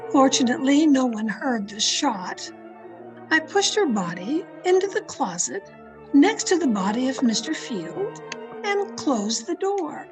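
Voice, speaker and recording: A woman playing a tense, secretive woman who narrates her own crime in a deliberate, dramatic old-time radio mystery style. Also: sits high, sing-song, music in the background. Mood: tense, brooding. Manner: deliberate, dramatic, suspenseful delivery. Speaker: actor in an online radio play performance. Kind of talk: 1940s radio mystery acting, first-person narration. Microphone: video call. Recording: noisy home video-call recording.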